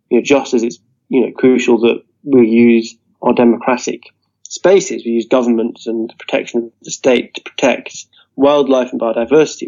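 A man with a mellow, relaxed tone makes an earnest political argument. He works through his points steadily and often leans on "you know". The audio sounds dull and lo-fi. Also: medium pitch. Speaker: interview guest. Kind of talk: political discussion.

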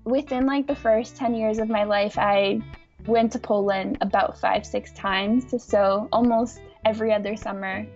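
A young woman from the United States telling her own story in a reflective, casual way, often pausing with "like". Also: mid-range voice, steady tone, a little mellow, dull audio, soft background music. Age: young adult. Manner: reflective, with frequent "like" and restarts. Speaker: interviewee.

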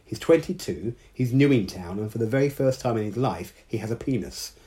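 A man's voice: mid-range voice, animated, normal speed, dark tone, natural voice. A man voicing a dry, teasing young female mermaid who looks after her friend.

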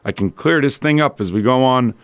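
A male sports radio host with a medium-pitched voice that sounds a little rough and worn. He talks in a loose, colloquial monologue full of conversational asides. The sound is close and dry, as in a radio studio. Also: fairly quick, no echo. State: slightly rough, strained voice from yelling.

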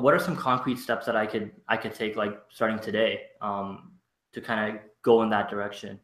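A man's voice: on the low side, pushed and bright, echoey room. A young man from Canada, a guest in a casual conversation, speaking hesitantly with lots of fillers. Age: young adult. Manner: hesitant, halting, many fillers.

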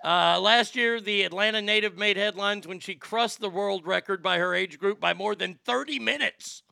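A man's voice: sits high, moderate pace, vocal fry, pushed and bright, smooth. A man in his fifties hosting a casual talk show and reading out stories. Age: 50s. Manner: easygoing, reads stories aloud. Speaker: host. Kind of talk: casual chat.

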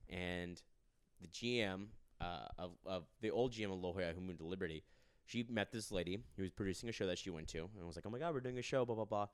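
Fast-talking deep-voiced man telling stories. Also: smooth, dry recording, studio quality. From Arizona. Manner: storytelling, acts out quoted people. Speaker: podcast host and stand-up comedian. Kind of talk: casual podcast chat.

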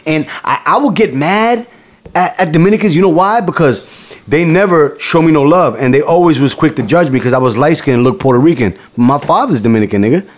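A man hosting a podcast, talking crude and teasing, getting loud and excited. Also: brisk. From New York. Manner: loud, profane, teasing, jumps in pitch when excited. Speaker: podcast host. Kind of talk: crude casual banter.

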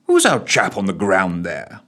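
A man's voice: low-pitched, huge pitch swings, a little slow, bright, forceful tone, heavily acted. A man voicing a wry, unflappable male prime minister in a storybook reading. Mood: dry.

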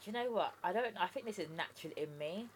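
A woman's voice: mid-range voice, expressive, fast talker, a little hiss or hum. A woman in her thirties with a London accent, born in Jamaica, chatting candidly as a guest on an interview podcast. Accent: London English. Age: 30s. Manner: chatty and candid, with lots of "do you know what I mean". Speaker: guest entrepreneur.

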